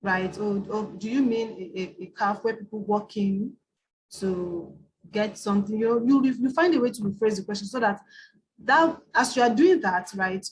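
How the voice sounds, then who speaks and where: A woman's voice: mid-range voice, darkish tone, rough recording. Nigerian woman teaching in a webinar. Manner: conversational, frequent tag question "right?". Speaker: IELTS trainer. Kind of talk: webinar lecture.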